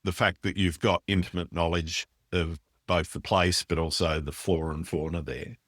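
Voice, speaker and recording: A man's voice: deep voice, steady tone, moderate pace, fairly bright tone, no room sound, studio quality. A man hosting a podcast, asking long winding questions and giving brief affirmations. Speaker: podcast host. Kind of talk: interview questions.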